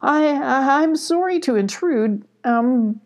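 A woman's voice: mid-range voice, sing-song, a little slow, warm tone, breathy edge. A woman voicing an anxious, plucky little girl kitten who pleads earnestly in a children's storybook. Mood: nervous, polite. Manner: pleading, faltering when scared, talks to herself.

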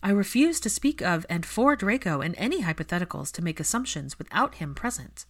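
A woman voicing an earnest young female healer in a story, calm but persistent, reasoning in an insistent way. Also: fairly low pitch, fairly quick. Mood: firm.

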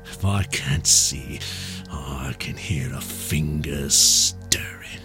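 A man's voice: on the high side, big pitch swings, measured pace, music in the background, pitched above the performer's own voice. A man voicing a blind old pirate beggar who is cruel and cunning, with a whining sing-song that turns cold and menacing, in an audiobook reading. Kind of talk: audiobook character voice.

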